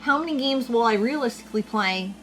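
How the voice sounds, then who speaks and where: A woman's voice: on the high side, lively intonation, brisk, a bit bright, faint music behind. A woman in her forties co-hosting a casual chat, laying out figures and averages. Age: 40s. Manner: chatty, lays out figures and averages. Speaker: co-host of a retro gaming channel.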